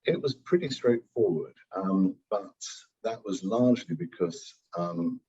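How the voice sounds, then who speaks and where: A man with a very deep, mellow and husky voice answers an interview in measured, formal phrases. The recording sounds dull and lo-fi. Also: expressive. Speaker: business development executive.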